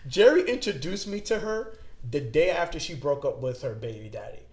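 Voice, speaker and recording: A man hosting a podcast, telling a story in casual chat, animated and acting out his own thoughts. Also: on the high side, a little fast, echoey room. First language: English. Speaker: podcast host.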